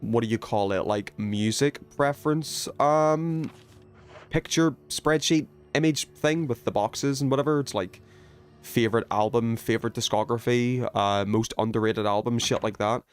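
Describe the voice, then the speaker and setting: A man's voice: medium pitch, moderate pace, clean tone, soft background music. A man hosting a video game livestream, rambling and thinking aloud as he chats casually with viewers. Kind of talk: casual gaming stream chat, reading viewer messages.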